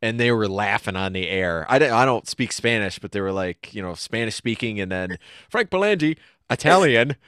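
A young man hosting a podcast interview from a home music studio, easygoing with quick agreeing replies, on a studio microphone with headphones. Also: on the low side, expressive, a bit bright, clean tone, no echo. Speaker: podcast host. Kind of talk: casual interview. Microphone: studio mic on a boom arm, headphones on.

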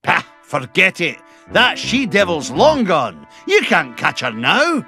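An actor playing a greedy, gloating old cartoon duck tycoon, blustering and sneering. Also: male voice, high voice, wildly swooping pitch, slow, sharp tone, soft background music, exaggerated voice. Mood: grumbling.

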